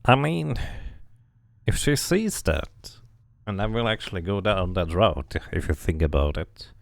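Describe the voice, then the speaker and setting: A male streamer gives casual game commentary, musing as he plays. He speaks slowly with a lively, swinging pitch and plenty of creak. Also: dry recording. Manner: musing, thinking aloud.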